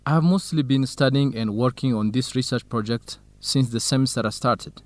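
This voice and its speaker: An easygoing, modest young male researcher played by an actor in a radio drama. His voice sits at a middle pitch, and he talks politely and frankly in a low-key way. The sound is dry and close. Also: normal speed, no echo, natural voice. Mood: modest, open. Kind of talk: educational radio drama acting.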